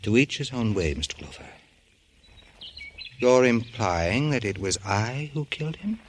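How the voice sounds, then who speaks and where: An actor playing a haughty, cultured murder suspect in a 1940s radio crime drama, languid and arch. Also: male voice, medium pitch, lively intonation, a little slow, lightly acted. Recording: noisy old radio broadcast recording.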